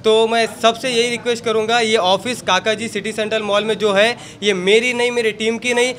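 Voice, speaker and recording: A young man speaks earnestly and motivationally about his team. His voice is high and bright. He talks into a handheld mic. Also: fairly even intonation, fairly quick. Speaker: team leader giving a speech.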